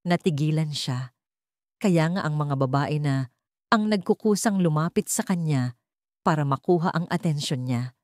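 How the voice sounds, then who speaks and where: A woman's voice: sits low, animated, moderate pace, a little mellow, no echo, studio quality. A woman narrating a scripted dramatic story as an audiobook narrator.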